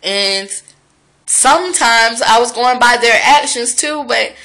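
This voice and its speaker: A woman's voice: a little high, takes it slowly, pushed and bright, poor audio. A woman, talking frankly and profanely to her viewers in a reflective vlog monologue. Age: 30s.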